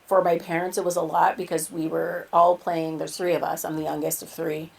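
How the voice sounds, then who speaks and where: Lower-voiced woman from Winnipeg, chatty. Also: flat delivery, a little fast, creaky, slightly rough, rough recording. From Canada, Winnipeg. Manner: chatty, laughs easily, uses fillers. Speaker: podcast co-host. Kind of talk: casual chat at live podcast. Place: live podcast recording venue.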